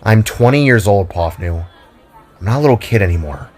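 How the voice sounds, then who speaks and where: A man's voice: on the low side, lively intonation, brisk, some chatter behind, pitched below the performer's own voice. A man voicing a sullen, weary twenty-year-old younger sister with a sulky, hesitant manner in a narrated story. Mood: defiant, bitter.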